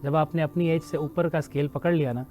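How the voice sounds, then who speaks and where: A man's voice: a little high, fairly even intonation, fairly quick, darkish tone, faint music behind. A man judging on a TV show, speaking in an encouraging, advisory way and repeating phrases for emphasis. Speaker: judge of a children's religious recitation contest. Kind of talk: spoken judging feedback on a TV show.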